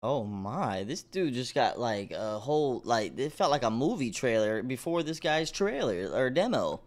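A man's voice: mid-range voice, sing-song, normal speed. A man hosting a reaction video, rambling casually and addressing his viewers familiarly, with music around his voice. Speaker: reaction channel host.

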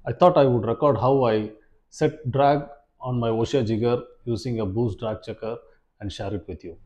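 A man in his forties presenting an instructional fishing demonstration in a measured, step-by-step way, recorded indoors. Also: mid-range voice, steady tone, dark tone, highs cut off. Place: small plain room indoors, seated at a table.

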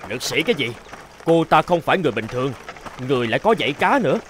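A man's voice: fairly high pitch, sing-song, fast, a bit bright, a little hiss or hum. An actor playing a gossiping, mocking village man in a cartoon folk tale. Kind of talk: Vietnamese cartoon voice acting.

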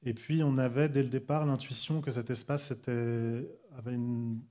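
A man in his thirties from Switzerland giving an architecture lecture in a large hall, talking haltingly with many fillers into a handheld microphone. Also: medium pitch, fairly even intonation, warm tone, slight background noise. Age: 30s. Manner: halting, restarts with frequent euh. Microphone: handheld microphone at a desk.

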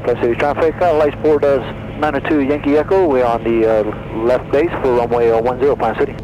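A man learning to fly, making halting radio calls through an aircraft headset. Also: mid-range voice, fairly even intonation, muffled recording. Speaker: student pilot. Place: small light aircraft cockpit. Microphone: aviation headset. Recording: engine noise, aircraft headset audio.

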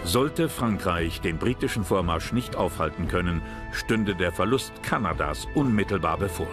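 A man narrating a historical documentary from a script in a measured, serious manner. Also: deep voice, animated. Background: background music.

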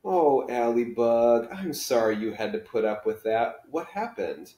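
A man reading as a gentle, soothing mother, roomy sound. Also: mid-range voice, sing-song, unhurried. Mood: concerned, tender. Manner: soothing, reassuring. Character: gentle, caring mother of a schoolgirl. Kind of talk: children's book read-aloud. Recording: roomy living-room echo.

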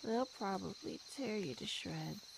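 A woman playing a languid, possessive feline monster girl in an ASMR roleplay, predatory yet affectionate, with drawn-out murmurs. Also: mid-range voice, expressive, creaky, background noise. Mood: possessive, teasing. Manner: slow, drawn-out, purring murmurs and sighs.

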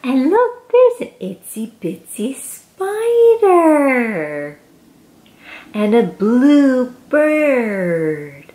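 A woman's voice: sits high, very animated, very slow, warm tone. A woman in her thirties reads a picture book aloud to young children in an exaggerated, sing-song, encouraging way. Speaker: children's read-aloud host. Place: small plain room indoors.

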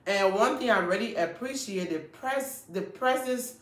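A woman's voice: medium pitch, animated, a little slow, fairly bright tone. A woman, vlogging casually and emphatically to the camera. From Liberia. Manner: chatty and emphatic, gestures while talking. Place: small indoor room. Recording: echoey small room.

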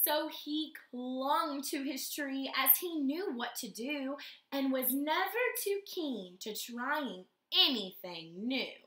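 A woman's voice: high voice, takes it slowly, echoey room. A young woman reading a picture book aloud to children, stopping to ask them questions. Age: young adult. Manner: animated, asks children questions between pages. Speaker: YMCA storytime reader. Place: room in a house, indoors.

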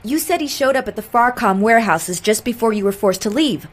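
An actress playing a composed, analytical intelligence agent in a game cutscene, measured and matter-of-fact. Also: female voice, on the high side, expressive. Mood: pensive, businesslike. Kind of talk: video game cutscene acting.

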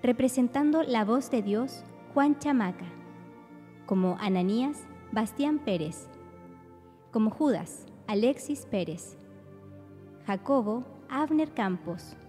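A woman's voice: on the high side, lively intonation, takes it slowly, mellow, big-room sound, soft background music. A woman narrating a religious radio drama from a script.

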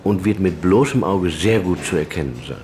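Low-voiced, deadpan scientist. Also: male voice, moderate pace, slight background noise. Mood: matter-of-fact. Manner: deadpan, precise, academic. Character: dry, matter-of-fact male astrophysicist being interviewed. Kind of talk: radio comedy mock interview.

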